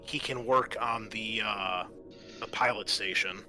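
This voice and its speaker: A man with a very bright, forward voice on the low side runs a tabletop game. He explains scenes and rules conversationally, often halting as he goes. Faint music plays behind him. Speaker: game master. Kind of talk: running a tabletop roleplaying game.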